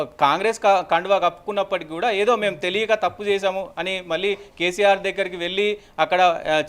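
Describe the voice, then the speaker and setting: A man with a Telangana Telugu accent giving an unscripted live news update as a reporter, in halting, repetitive phrases. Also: high-pitched, fairly bright tone, clean tone.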